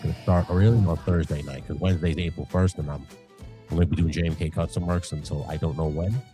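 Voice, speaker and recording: A man's voice: a bass voice, fairly even intonation, fast talker, warm tone, soft background music. A man hosting a podcast in casual chat, loose and upbeat, swearing freely. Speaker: podcast host.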